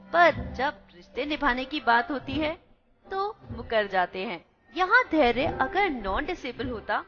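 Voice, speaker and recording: A woman's voice: high voice, bright, forceful tone, muffled recording. A woman narrating a radio play, asking her listeners reflective questions. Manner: questioning, addresses listeners as friends.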